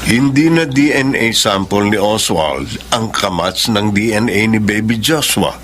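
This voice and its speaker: A man playing a grave, self-assured doctor in a Tagalog radio melodrama, delivering results in a deliberate, suspenseful way. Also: on the low side, fairly bright tone.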